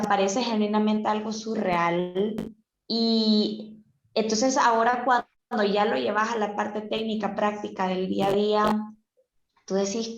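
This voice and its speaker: Woman, earnest reflective talk, roomy and dull video-call sound. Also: fairly high pitch. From El Salvador, half Venezuelan. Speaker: participant in a group talk. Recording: echoey room, dull video-call audio.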